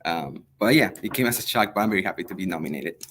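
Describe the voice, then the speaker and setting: Young male teacher from El Salvador, native Spanish speaker, fairly low voice, earnest and halting in an interview. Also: lively intonation, fairly bright tone, slight background noise. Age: young adult. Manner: hesitant, many ums, earnest. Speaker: high school history teacher. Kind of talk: interview answers.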